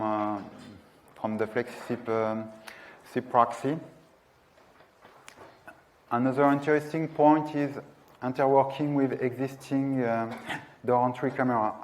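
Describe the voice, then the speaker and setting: A man's voice: mid-range voice, a little mellow, poor audio. A man in his thirties giving a technical presentation in a lecture room, speaking hesitantly and searching for words. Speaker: conference presenter.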